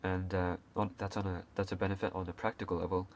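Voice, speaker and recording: Young guy from hong kong, really low voice, lots of uhs and restarts, bit of faint hiss. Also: little pitch movement, quick pace. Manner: hesitant, many fillers and restarts, occasional coughs. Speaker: video creator. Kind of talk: casual unscripted talk to camera.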